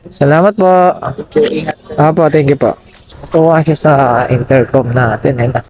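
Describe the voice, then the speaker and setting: A man's voice: on the high side, measured pace, muffled recording, a crowd in the background. A Filipino man chatting casually and politely as a rider on a helmet intercom. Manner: polite, deferential. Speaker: motorcycle taxi rider and vlogger. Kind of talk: casual chat with a passenger over intercom. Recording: loud music under the voice.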